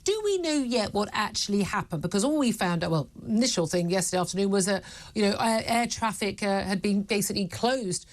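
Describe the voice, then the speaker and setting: A woman's voice: medium pitch, lively intonation, fairly quick. A British woman presenting a live news interview in a chatty, emphatic and opinionated way.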